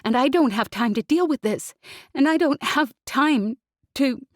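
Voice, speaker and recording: A woman's voice: on the high side, expressive, measured pace, darkish tone, breathy edge, dry recording, studio quality, voice pushed up. A woman voicing a young woman pilot who is crying and swearing at him in anger and fear. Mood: furious, sobbing. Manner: angry, sobbing, swearing.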